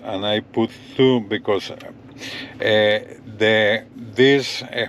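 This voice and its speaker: A man presents gear in a casual vlog style at a slow, unhurried pace. His voice sits at a middling pitch, and he often repeats himself as he points things out. There is faint vehicle noise around him. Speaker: gadget review vlogger. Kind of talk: casual hands-on product demo, addressing viewers. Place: in and around a parked pickup truck.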